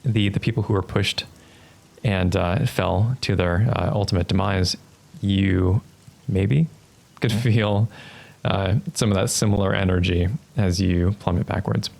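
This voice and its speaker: A man narrating a tabletop roleplaying game as game master, improvising scenes in a halting, thoughtful way. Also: deep voice, fairly even intonation, gravelly, a little mellow.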